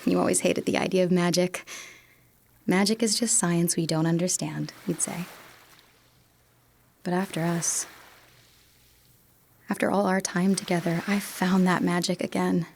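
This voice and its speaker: A woman playing a wistful, teasing young woman in love in an audio drama, with soft hushed moments. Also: fairly low pitch, steady tone, measured pace, gravelly, long pauses, slight background noise. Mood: wistful, tender. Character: wistful, teasing teenage girl in love, remembering. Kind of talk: audio drama acting, intimate monologue and dialogue.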